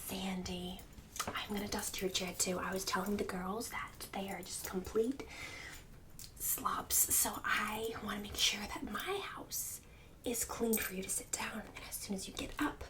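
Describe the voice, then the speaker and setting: A woman's voice: mid-range voice, steady tone, measured pace, far from the mic, close to an everyday voice. A woman playing a snobbish, bossy suburban book club hostess in an ASMR roleplay, condescending and catty with fake-sweet insults. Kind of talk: ASMR roleplay, whispered and soft-spoken.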